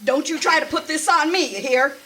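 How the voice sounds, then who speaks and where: A woman's voice: sits high, brisk, bright, forceful tone. A woman playing a bossy but fond old country aunt, with folksy, scolding talk, in old-time radio drama style. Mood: grudging. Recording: live performance with background noise.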